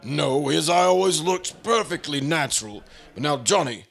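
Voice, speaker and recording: An excitable official in a radio play acted by a man, with a high, sharp voice that swings widely in pitch. He is urgent and easily exasperated, the sort to bark orders. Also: normal speed, vocal fry, heavily acted. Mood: earnest. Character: excitable male agency official barking orders. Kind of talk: old-time radio drama acting.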